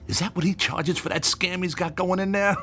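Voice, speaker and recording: An actor playing a cynical, combative writer with a sarcastic, wry manner in a radio drama. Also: male voice, high-pitched, steady tone, moderate pace, bright, forceful tone. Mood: scornful, exasperated. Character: cynical, combative male conspiracy-book writer.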